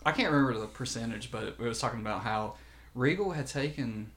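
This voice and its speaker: A man's voice: mid-range voice, a little fast, fairly bright tone. A man co-hosting a podcast in casual chat, telling stories animatedly and laughing often. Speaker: podcast co-host.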